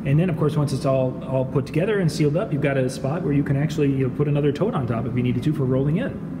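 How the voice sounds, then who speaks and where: A man's voice: medium pitch, racing. A man hosting a casual guided tour in an informal, agreeable way. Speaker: host of a video show. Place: small hard-walled demo room with high ceiling, indoors. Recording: echoey reverberant room with noisy ambience.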